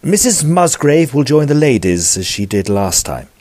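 An actor playing a gentlemanly Edwardian narrator of a ghost story, telling it formally in a scripted audio drama. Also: male voice, medium pitch, sing-song, normal speed, no echo, studio quality, close to an everyday voice. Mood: affable.

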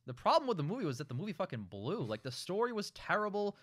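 Animated, higher-pitched man in casual debate. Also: fast, dry recording, studio quality. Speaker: podcast co-host. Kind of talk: casual opinionated film and game discussion.

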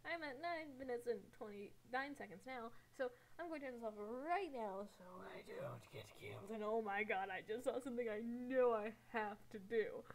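A woman's voice: on the high side, moderate pace, few pauses. A woman casually dubbing fan comics as an amateur, rambling and correcting herself with a giggly manner. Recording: noisy amateur home recording.

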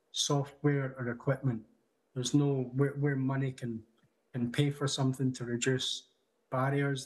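A man in his thirties giving a prepared conference talk over a video call from a room at home, measured, with small restarts. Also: mid-range voice, slightly rough, low-quality recording. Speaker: conference speaker. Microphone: video call webcam.

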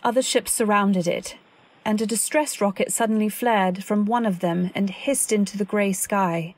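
A woman playing an anxious, earnest wife, narrating a radio drama in a literary, deliberate way. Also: mid-range voice, a little mellow, slight background noise, close to an everyday voice. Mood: tense, reflective. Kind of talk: dramatic scripted narration, old-time radio.

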